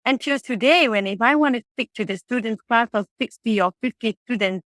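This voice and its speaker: A woman whose mother tongue is Tamil speaks in a fairly high voice, animated and earnest, often circling back to restart a thought. The sound is close and dry, with almost no room around it. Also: brisk, no room sound. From Malaysia. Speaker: childcare center director. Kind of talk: conversational interview.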